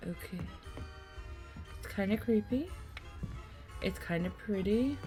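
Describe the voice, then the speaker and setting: A woman's voice: medium pitch, lively intonation, slow pace, mellow. Female gameplay host, mid-range voice, casual think-aloud commentary, faint music underneath. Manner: thinks aloud, reacting to the game. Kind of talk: casual let's-play commentary.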